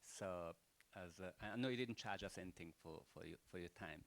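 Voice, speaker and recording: A man's voice: sits low, a little fast, gravelly, slight background noise. An older man with a Croatian accent giving rambling, unscripted closing remarks at a panel event, full of rhetorical questions and "you know".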